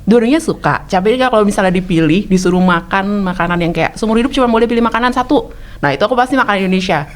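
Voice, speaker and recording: A woman guesting on a radio interview, easygoing and giggly, talking in quick bursts. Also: medium pitch. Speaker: guest, food blogger. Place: radio studio.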